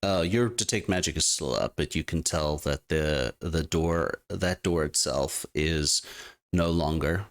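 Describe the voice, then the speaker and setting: A man running a tabletop game explains what the players can sense. His voice is low with a gravelly edge. He sets out each ruling in a measured way. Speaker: game master.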